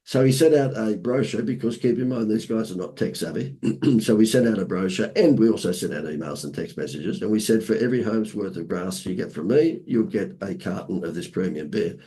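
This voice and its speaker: Hoarse Australian man telling stories. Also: fairly low pitch, brisk. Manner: lively anecdotes with playful asides. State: hoarse from laryngitis. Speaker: marketing consultant guest. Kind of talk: casual storytelling interview.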